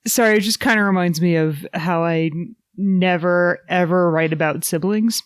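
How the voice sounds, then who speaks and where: Lower-voiced woman, hesitant and reflective, close-miked. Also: moderate pace, smooth, no room sound. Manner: hesitant, thinking aloud. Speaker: podcast panelist. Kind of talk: casual, reflective chat. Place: in-person recording around a table.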